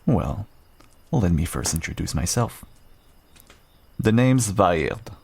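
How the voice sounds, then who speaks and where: A man playing a guarded but kind half-wolf rogue in an intimate fantasy audio roleplay, unhurried and dryly understated. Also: lively intonation, a little mellow, slight background noise, heavily acted. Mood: earnest, reflective. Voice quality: low, gravelly, husky. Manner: unhurried, dry, understated, self-deprecating.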